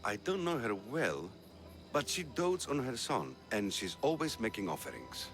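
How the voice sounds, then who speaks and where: A man voicing a calm, reflective villager. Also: medium pitch, moderate pace, faint music behind.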